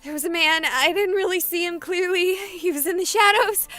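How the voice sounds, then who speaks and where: An actress voicing a high-strung, easily panicked young woman streamer in a dramatic visual novel style. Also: normal speed, bright, forceful tone, faint music behind. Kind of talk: animated visual novel voice acting.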